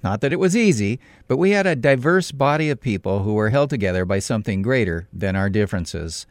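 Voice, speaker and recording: A middle-aged man from California, a pastor, reading a scripted radio commentary in a deliberate, emphatic way with sudden rises in pitch on key points. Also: expressive, dry recording, studio quality.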